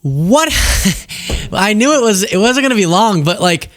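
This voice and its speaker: A man's voice: sits high, big pitch swings, a little slow, a bit bright, no room sound, clean studio sound. An American man hosting a political show, reacting to news clips in a casual, sarcastic and incredulous conversational way. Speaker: political commentary show host.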